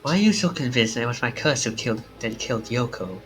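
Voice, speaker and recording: A man's voice: mid-range voice, animated, fast talker, fairly bright tone, close to an everyday voice. A man playing a suspicious, confused game protagonist with blunt, questioning speech. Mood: confused, defensive. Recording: music under the voice.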